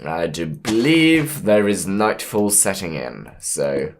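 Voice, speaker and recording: Hoarse man, unhurried, thinking aloud, let's-play commentary. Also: low-pitched, very animated, a little hiss or hum. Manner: plans aloud, easygoing and punny. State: hoarse from a cold. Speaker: gameplay commentator.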